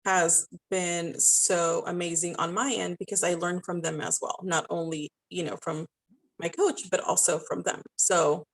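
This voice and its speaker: A woman's voice: on the low side, flat delivery, a little slow, poor audio. A woman with a Spanish accent giving encouraging, informal advice as a guest in a conversational interview. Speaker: podcast guest, style coach and network marketer.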